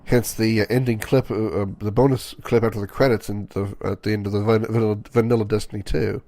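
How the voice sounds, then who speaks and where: A man hosting a casual let's-play, stuffy and sniffling from a sinus infection, rambling in halting asides. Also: on the low side, flat delivery, mellow, no echo, soft background music. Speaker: gaming channel host.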